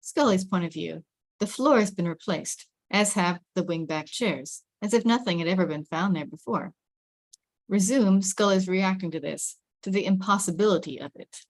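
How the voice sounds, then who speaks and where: A woman's voice: medium pitch, moderate pace. A woman narrating the scene and camera directions of a script at a table read. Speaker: stage directions reader.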